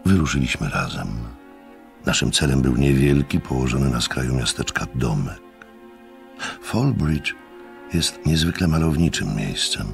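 A man acting as a courteous, observant gentleman narrating a radio play in measured, literary phrases. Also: very deep voice, expressive, creaky, faint music behind, full character voice. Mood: reflective, descriptive.

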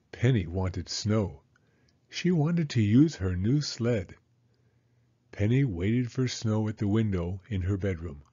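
A man narrating a children's read-aloud story in an expressive, deliberate manner. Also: lowish voice, husky, muffled recording.

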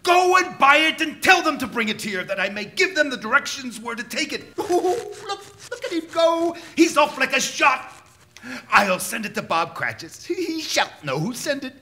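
Frantic old miser for stage radio play: very high, piercingly bright, impassioned, faint music behind. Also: male voice, very animated, normal speed, full character voice. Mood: giddy joy. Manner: impassioned, exclamatory, pleading. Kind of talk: theatrical live radio drama acting. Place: auditorium stage, live show.

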